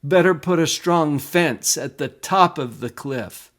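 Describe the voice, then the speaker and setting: A man reciting a poem in a deliberate, expressive storytelling manner. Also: fairly high pitch. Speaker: poem reader. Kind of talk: dramatic poetry recitation.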